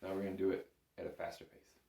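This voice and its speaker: A man with a deep voice gives an instructional lesson in a reverberant room. He is patient and informal, guiding learners one step at a time. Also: monotone, quick pace, mellow. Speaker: bagpipe instructor. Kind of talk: instructional music lesson to camera. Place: indoor room, echoey. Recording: echoey room.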